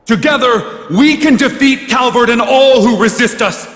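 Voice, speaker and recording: Fervent warmongering ruler, forceful and high. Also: male voice, normal speed. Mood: fervent, rallying. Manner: declamatory, fervent, grandiose. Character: bombastic male military ruler rallying citizens to war. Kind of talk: dramatic political oratory.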